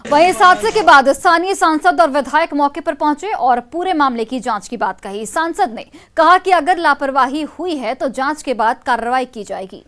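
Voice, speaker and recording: High-pitched woman reading breaking news. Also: animated, normal speed, few pauses, fairly bright tone. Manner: emphatic, repeats key phrases. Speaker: news anchor. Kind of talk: urgent breaking-news narration. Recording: music bed under the voice. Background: news music.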